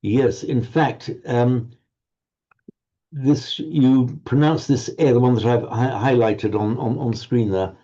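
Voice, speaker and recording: A man teaching Sanskrit pronunciation in an online group lesson, halting and restarting words, encouraging his students. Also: mid-range voice, steady tone, mellow, highs cut off.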